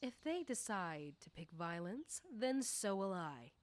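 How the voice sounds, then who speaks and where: A woman playing a confident, nosy popular high school girl in an ASMR roleplay, talking coyly and teasingly to the listener. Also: very animated, measured pace, no echo. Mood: indignant, self-assured.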